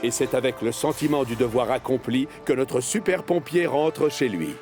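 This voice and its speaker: A man's voice: mid-range voice, animated, moderate pace. A man narrating a scripted children's story as the storyteller of a cartoon. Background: music.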